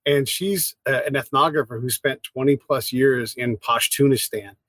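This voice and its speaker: A man hosting a podcast, talking in a casual, energetic, conversational way. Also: medium pitch, moderate pace, dry recording. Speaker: podcast host.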